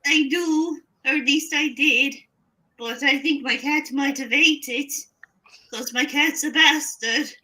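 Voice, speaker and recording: A woman playing a slow, chatty old lady. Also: high-pitched, sharp tone, heavily acted, poor audio. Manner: slow, chatty, digressive, folksy. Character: rambling 82-year-old retired woman librarian. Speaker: scam baiter. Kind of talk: playing a fake victim on a phone call.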